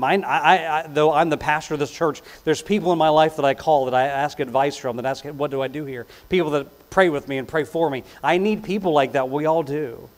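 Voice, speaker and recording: A man's voice: a little high, a little mellow. A middle-aged man preaching a sermon as a pastor, emphatic and repeating key phrases, with conversational asides. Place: indoors on a church platform. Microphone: lapel mic.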